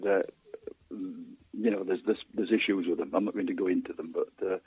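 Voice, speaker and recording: A man with a low voice and a husky edge chats as an interview guest. He stumbles and repeats words as he explains himself. The line is thin and phone-like, with very little room sound. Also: steady tone, moderate pace. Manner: hesitant, stammers over repeated words. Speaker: guest author. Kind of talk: casual interview chat.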